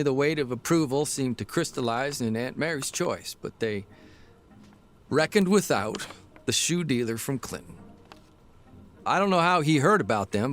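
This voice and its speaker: Soft music sits under a man playing a folksy newspaper columnist in a radio drama. He speaks at a medium pitch in a homespun, conversational storyteller's way, with a dry wryness. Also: close to an everyday voice. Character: folksy newspaper columnist, a worried, tender husband.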